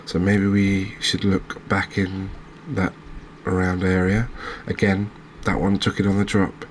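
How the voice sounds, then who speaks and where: A man giving relaxed ASMR commentary over a fishing video game, chatting to viewers and often checking in with tag questions. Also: deep voice, unhurried, a little hiss or hum, low-quality recording. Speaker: gaming video host.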